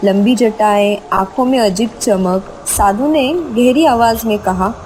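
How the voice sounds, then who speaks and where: A woman's voice: on the high side, slow pace, darkish tone, slight background noise, poor audio. A woman narrating a scripted horror story with dramatic storytelling delivery.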